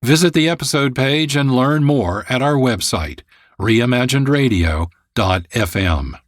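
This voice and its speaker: A male radio host reads scripted introductions in a dry, close sound. His pitch is mid-range and his delivery measured and articulate. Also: no echo. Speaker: producer and host.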